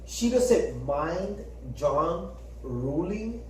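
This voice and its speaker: A man co-hosting a podcast in casual chat, talking in an animated way, swearing and acting out quotes. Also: high voice, extremely slow, slight background noise. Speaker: podcast co-host. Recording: echoey room, far from the mic.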